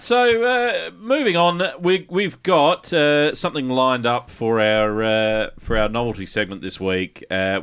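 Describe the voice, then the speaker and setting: A man's voice: lowish voice, fairly bright tone, smooth. A man with an Australian accent hosting a podcast in a casual, chatty style. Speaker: podcast host. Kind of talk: casual chat, introducing segments.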